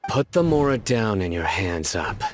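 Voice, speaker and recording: A man's voice: low voice, big pitch swings, normal speed. A man voicing a stern, serious male judge in a video game, speaking in a terse, matter-of-fact way. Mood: commanding.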